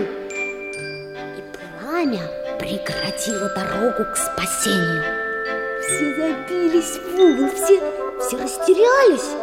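A woman's voice: sits high, heavily acted. An actress playing a proud schoolgirl dreaming of glory in a radio play, dramatic and self-important. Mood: dreamy, scheming. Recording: reverberant radio play, loud music behind.

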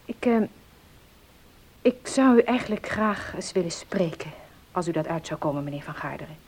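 Radio drama casting: troubled young woman, polite and hesitant, formal diction, husky, breathy voice. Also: fairly low pitch, lively intonation, normal speed. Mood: polite, earnest. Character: earnest, troubled young orphaned woman seeking help. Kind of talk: Dutch radio play acting.

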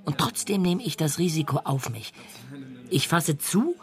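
Brooding, dreamy drifter. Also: male voice, fairly high pitch, lively intonation, unhurried. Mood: brooding, intense. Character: brooding, dreamy, anxious male drifter and criminal. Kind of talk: radio drama acting.

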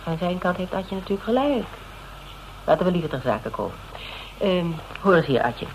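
Businesslike woman detective, low gravelly voice, Netherlands Dutch accent, direct, radio play with faint noise. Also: sing-song, normal speed. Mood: businesslike, placating. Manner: direct, matter-of-fact. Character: level-headed, businesslike female private detective. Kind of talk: radio drama acting. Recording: faintly noisy radio recording.

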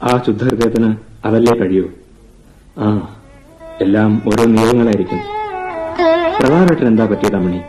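A man playing a gentle, regretful wanderer come back to his childhood sweetheart in a Malayalam radio drama, earnest and poetic. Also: on the low side, soft background music, natural voice, rough recording. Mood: concerned. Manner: earnest, poetic, measured delivery.

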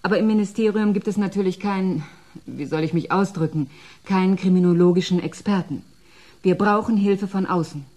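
An actress playing a cool, formal senior culture official in a German radio play, brisk and slightly condescending. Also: female voice, mid-range voice, a little mellow, natural voice. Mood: grave, discreet.

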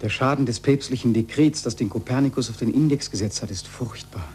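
An actor playing an anxious, questioning learned man with a husky, earnest voice in a 1940s German radio play. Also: medium pitch, fairly quick, a little mellow, a little hiss or hum, lightly acted. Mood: earnest, troubled.

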